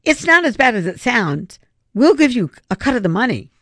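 A woman's voice: on the low side, very animated, moderate pace, dry recording, clean studio sound. A woman voicing an earnest, scheming schoolboy ringleader who argues insistently in an audiobook story. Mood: nervous, stammering. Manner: pleading, insistent.

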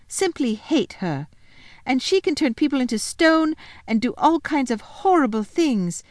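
A woman voicing an excited little girl who gushes eagerly. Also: fairly high pitch, no room sound, voice pushed up, lightly acted. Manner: breathless excitement, gushing.

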